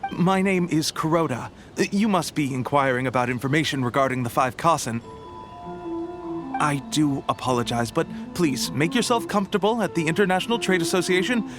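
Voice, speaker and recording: Man as high-voiced, flustered polite clerk. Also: brisk, music in the background, close to an everyday voice. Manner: hesitant, apologetic, courteous. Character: polite, flustered male publishing office clerk. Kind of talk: video game character acting.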